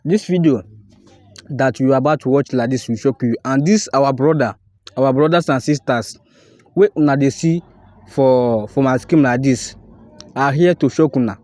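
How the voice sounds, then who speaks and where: A man's voice: mid-range voice, moderate pace, darkish tone, no room sound. A man with a Nigerian accent who slips into Pidgin, earnestly introducing a video on his channel and urging viewers to subscribe and share. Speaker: YouTube channel host.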